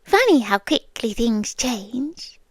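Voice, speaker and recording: A woman with a British accent playing a possessive, softly menacing yandere girlfriend in a hushed, intimate ASMR roleplay, close to the microphone. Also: fairly high pitch, big pitch swings, no echo, heavily acted. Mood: reflective, menacing. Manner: hushed, often whispering, deliberate pauses.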